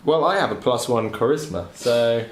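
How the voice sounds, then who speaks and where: A man's voice: mid-range voice, expressive, normal speed. A man playing a tabletop game, chatting casually with the group. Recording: echoey room, faint noise.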